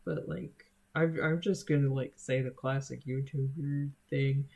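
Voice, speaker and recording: Slow, gravelly young streamer chatting. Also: mid-range voice, fairly even intonation, darkish tone. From United States. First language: Spanish. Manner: unhurried and hesitant, many fillers and restarts. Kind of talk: casual stream chat answering viewers.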